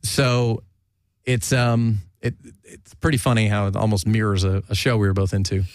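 A man's voice: on the low side, little pitch movement, normal speed, clean tone, dry recording, studio quality. A young man from New Jersey hosting a casual chat, talkative and self-mocking, laughing often. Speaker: podcast host.

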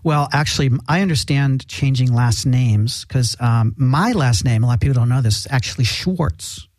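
A man hosting a talk show, interviewing a guest with joking, deadpan teasing. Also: mid-range voice, normal speed, no room sound. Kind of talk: comedic interview.

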